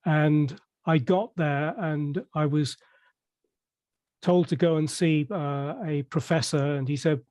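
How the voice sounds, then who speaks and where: Older Englishman, mellow and dry-toned. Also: fairly high pitch, fairly even intonation, no echo. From Merseyside, England. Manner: measured, hesitant with many fillers and restarts. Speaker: interview guest. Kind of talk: conversational interview.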